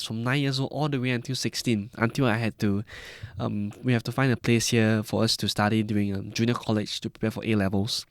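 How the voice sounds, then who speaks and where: A man's voice: mid-range voice, no room sound. A man in his early twenties with a Malaysian-Singaporean accent, telling personal stories as a podcast guest. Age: early 20s. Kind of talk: personal storytelling in conversation.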